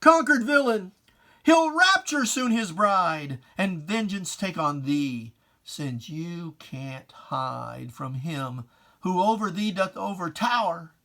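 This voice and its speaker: Dramatic poetry reader: unhurried, emphatic and theatrical, with preacherly old-fashioned diction and weighty pauses. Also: mid-range voice, animated, pushed and bright. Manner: theatrical, solemn, emphatic declamation with deliberate pauses; archaic preacherly diction, addressing a foe directly. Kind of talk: dramatic poetry reading, scripted and declaimed.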